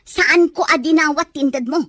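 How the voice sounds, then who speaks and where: Woman acting as high-voiced, sharp-tongued Ilocano wife. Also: normal speed, no room sound, studio quality. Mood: exasperated. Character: sharp-tongued, exasperated wife and mother who works selling goods. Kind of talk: Ilocano radio drama acting.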